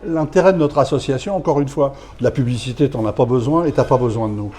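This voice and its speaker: A man's voice: mid-range voice, fast talker, dark tone. French man asking long, winding questions. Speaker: interviewer, business association host. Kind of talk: interview questions.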